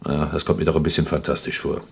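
A man's voice: a bass voice, little pitch movement, quick pace, gravelly, a little mellow, slightly rough, exaggerated voice. An actor playing an eager police inspector in a German radio crime play, arguing insistently point by point to his chief.

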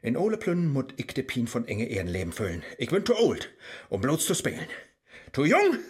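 A man acts out an anxious, lonely middle-aged seeker in a satirical verse radio play. His husky, lively voice laments and yearns in a Low German accent. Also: normal speed. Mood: impatient, yearning. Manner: plaintive, lamenting, rhyming speech.

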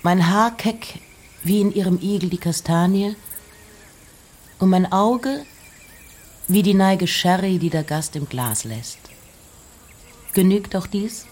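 An actress playing a reclusive, wry woman poet, reading letters and poems in a measured, deliberate literary radio style. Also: mid-range voice, a little mellow. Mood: confiding, wry. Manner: measured, deliberate, pausing between short phrases.

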